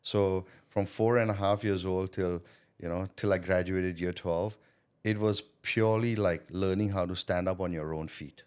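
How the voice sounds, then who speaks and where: Deep-voiced middle-aged man, reflective. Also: steady tone, moderate pace, a little mellow, smooth. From Thailand. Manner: reflective, checks in with "you know" and "right?". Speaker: podcast guest, sales consultant. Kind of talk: casual interview storytelling.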